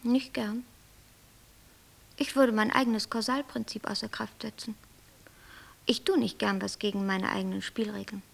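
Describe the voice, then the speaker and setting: An actress playing a coolly logical, bossy creator deity who lectures and commands in a poetic radio play. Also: female voice, mid-range voice, fairly even intonation, moderate pace, vocal fry, long pauses. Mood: reluctant. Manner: didactic, matter-of-fact, commanding.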